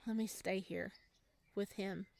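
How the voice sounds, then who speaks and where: Casual, expressive woman reading a game aloud. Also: medium pitch, slow, gravelly, a little hiss or hum. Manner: casual, expressive, jokey asides. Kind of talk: visual novel read-aloud with casual commentary.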